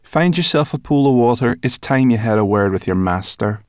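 A man voicing an impatient, sharp-eyed female brownie with a brusque, blunt way of talking. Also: medium pitch, lively intonation, normal speed, no room sound, studio quality, voice pushed up, close to an everyday voice.

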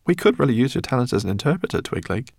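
A man voicing a composed, gently teasing wife and mother in a storybook, wry and kindly. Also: mid-range voice, sing-song, very fast, creaky, no room sound, clean studio sound, voice pushed up. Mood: welcoming.

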